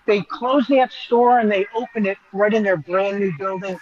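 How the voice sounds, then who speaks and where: A man's voice: high voice, normal speed, dull audio. A man giving an enthusiastic, conversational live walk-through as a restaurant reporter, explaining as he goes.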